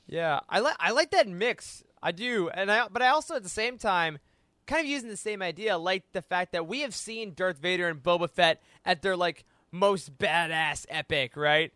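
A man with a high, bright voice talks casually as a podcast panelist. His tone is smooth and clean, recorded dry and close, and he riffs in an animated, jokey way. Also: normal speed, no echo. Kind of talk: casual roundtable discussion with acted asides.

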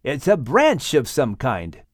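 A man's voice: mid-range voice, wildly swooping pitch, takes it slowly, dry recording, clean studio sound, full character voice. A man voicing a joyful, earnest father figure and patriarch of a family. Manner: exclamatory, earnest.

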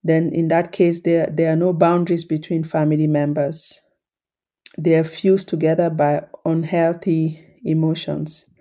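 A woman with a low voice talks calmly and thoughtfully to her podcast listeners. She keeps her tone warm and mellow and her pitch even, with little rise or fall. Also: a little slow. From Cameroon. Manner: calm, reflective, explanatory. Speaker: podcast host and coach. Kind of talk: solo podcast talk.

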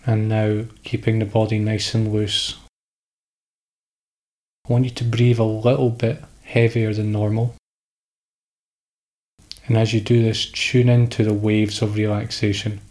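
A man leads a guided sleep meditation. His voice sits on the low side and stays extremely level, with almost no melodic movement. He gives calm instructions in short phrases, leaving pauses between them.

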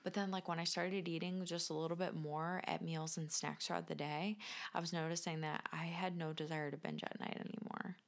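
A woman's voice: on the low side, level tone, fairly quick. A woman chatting casually on a podcast, talking reflectively about her own experience. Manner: reflective, sharing personal experience. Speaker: podcast speaker on health and nutrition. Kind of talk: casual conversational chat.

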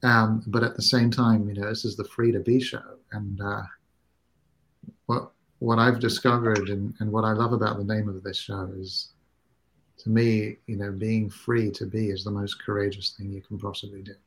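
A man in his thirties from Singapore hosts the conversation in a fairly low voice. He is earnest and halting, stumbling over repeated words as he works toward what he means. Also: flat delivery, a bit bright, rough recording. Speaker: host of a parenting talk show, new father. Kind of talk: casual conversation.

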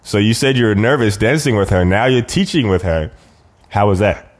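A man's voice: on the low side, normal speed. A man hosting a podcast, asking questions in a conversational interviewing style.

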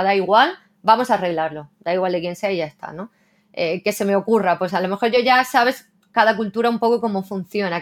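A woman translator talking reflectively in a casual interview, often tagging her sentences with a questioning "¿no?". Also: medium pitch. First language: Spanish. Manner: reflective, frequent "¿no?" tags.

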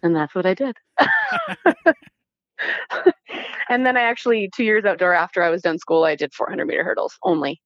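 A Canadian-accented woman telling stories casually as an interview guest, animated and informal, acting out bits of dialogue as she goes. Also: mid-range voice, moderate pace, long pauses, dry recording, muffled recording. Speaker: interview guest, former Olympic athlete. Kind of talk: casual conversational storytelling.

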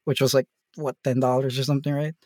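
A man's voice: mid-range voice, quick pace, dry recording, studio quality. A man from the Philippines, a tabletop game master, talking casually as an interview guest with lots of fillers and tag questions. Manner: rambling, relaxed, many fillers and tag questions.

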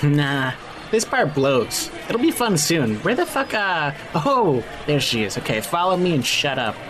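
Swingy, wisecracking cocky crew leader. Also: male voice, fairly high pitch, sing-song, unhurried. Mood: cocky, impatient. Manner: slangy, teasing, sarcastic. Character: brash, cocky, wisecracking, foul-mouthed male team leader with magic. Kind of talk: naturalistic audio drama acting. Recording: music playing behind the dialogue.

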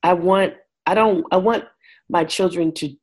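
Woman, 40s, deep voice; frank, expressive interview guest. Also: measured pace, slightly rough. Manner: frank and expressive, switches into lively quoted voices. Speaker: guest, works with couples. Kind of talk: candid conversational interview.

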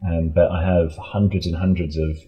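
A man's voice: sits low, level tone, moderate pace, slightly rough, highs cut off. A man talking casually as an interview guest, a composer speaking about his creative work.

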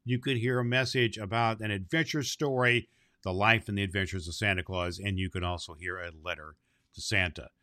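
A man's voice: fairly low pitch, steady tone, fairly quick, bright, forceful tone, no echo, studio quality. A man presenting as Santa Claus, speaking affectionately and in an avuncular way to young listeners. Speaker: host of a children's Christmas podcast, presenting as Santa Claus. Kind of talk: storybook reading and friendly address to children.

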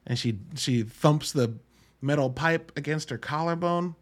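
A man's voice: fairly high pitch, unhurried. A man hosting a casual solo movie review podcast, talking in an informal, slangy, emphatic way with some swearing. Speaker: podcast host.

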